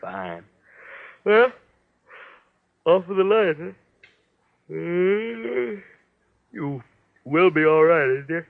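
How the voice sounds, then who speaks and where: Well-meaning weary husband, American accent, lightish pitch, hesitant folksy rambling, 1940s radio style, dull sound. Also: male voice, big pitch swings, drawn-out words, creaky, long pauses, heavily acted. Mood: sleepy reassurance. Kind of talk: 1940s radio drama acting. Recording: dull old radio drama recording.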